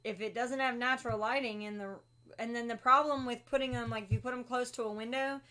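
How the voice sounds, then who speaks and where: A woman's voice: on the high side, fairly even intonation, fast talker, sharp tone. A woman co-hosting a homestead live stream, reading out viewer comments and chiming in with short agreements that finish her partner's sentences. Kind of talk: casual chat, reading out comments.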